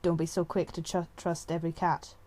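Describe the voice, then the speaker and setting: A woman's voice: on the low side, level tone, fairly quick, a little mellow, lightly acted. A woman voicing a wary male warrior cat in an audiobook, suspicious and disapproving.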